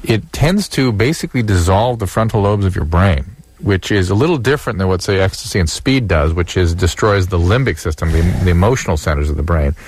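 A man's voice: sits low, expressive, fairly quick, husky, no room sound. A man who is a physician co-host, explaining brain effects and giving clinical advice on a call-in radio show.

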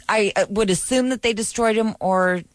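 A woman's voice: mid-range voice, moderate pace, dry recording. A middle-aged woman chatting candidly as an interview guest, laughing easily. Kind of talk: casual interview chat.

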